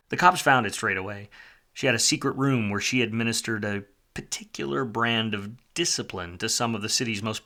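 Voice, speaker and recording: A hardboiled private eye from a noir radio play, acted by a man, wry and down on his luck. His voice sits on the low side with a hard, forceful edge, and he talks in world-weary slang. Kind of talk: film noir radio play acting and narration.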